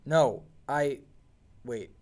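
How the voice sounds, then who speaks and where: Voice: medium pitch, extremely slow, darkish tone. An actor playing a reluctant, exasperated young male repair tech in a scripted TV drama read. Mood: frustrated.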